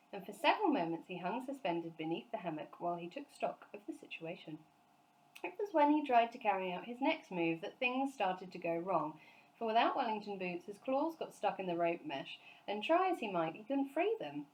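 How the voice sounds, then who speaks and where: A woman storyteller reading a children's book aloud in an expressive narrating style. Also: mid-range voice, fairly quick, low-quality recording.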